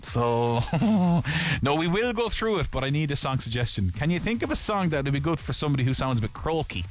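A man's voice: fairly high pitch, big pitch swings, brisk, soft background music, low-quality recording. A man hosting a breakfast radio show, chatty and jokey as he gives away tickets. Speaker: radio host. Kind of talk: breakfast radio chat and giveaway. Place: radio studio.

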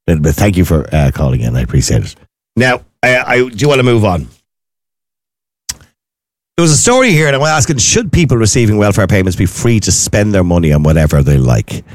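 A man's voice: sing-song, a little fast, no room sound, studio quality. A middle-aged Irish man hosting a call-in radio show, arguing hard with a caller and cutting in often. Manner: interrupting, argumentative, pitch jumping up when pressing a point. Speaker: radio phone-in host.

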